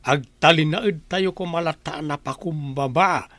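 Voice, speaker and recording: A man's voice: a little high, a little slow, a bit bright, no echo. A man playing a pious, reproving character in a radio drama, moralizing and posing pointed questions. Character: pious, reproving man preaching humility and God's plan. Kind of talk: Ilocano radio drama acting.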